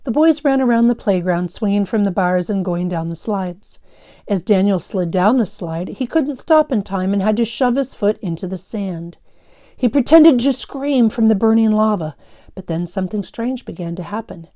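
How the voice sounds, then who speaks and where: Woman teacher explaining stuff and reading a kids story, soft dark tone, medium pitch. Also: expressive, moderate pace. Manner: instructive, explanatory. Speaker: fifth-grade teacher. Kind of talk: lesson explanation and story read-aloud.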